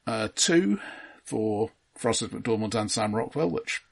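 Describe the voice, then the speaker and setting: A man with a husky voice co-hosting a film discussion podcast, listing results and giving dry, understated opinions. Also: fairly low pitch, lively intonation, slow. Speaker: podcast co-host. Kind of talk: film discussion, reading out awards and box office lists.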